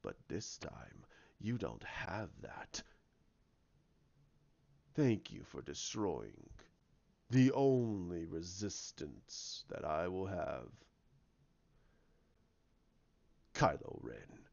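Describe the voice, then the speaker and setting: A man voicing a sinister old Sith emperor from a space saga, gloating and menacing in slow, drawn-out phrases. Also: low-pitched, sing-song, vocal fry, a little mellow, muffled recording, voice pushed down, full character voice. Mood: menacing, bitter.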